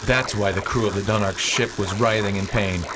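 A man's voice: fairly even intonation, brisk, lightly acted. An actor playing a serious, questioning starship crewman in a space opera radio drama, speaking earnestly. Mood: concerned. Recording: clearly noisy, engine rumble behind.

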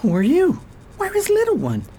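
A woman's voice: extremely high pitch, wildly swooping pitch, moderate pace, mellow, pitched above the performer's own voice, heavily acted. A woman voicing a cowardly thirty-year-old male stalker, wheedling and sullen, in an amateur radio drama. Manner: wheedling then sullen and whiny.

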